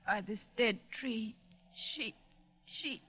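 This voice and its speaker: An actress playing a dreamy, haunted young woman devoted to her dead mother, speaking haltingly in a 1940s radio drama. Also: on the high side, sharp tone, slightly rough, full character voice. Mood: frightened. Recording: dull, lo-fi 1940s radio recording.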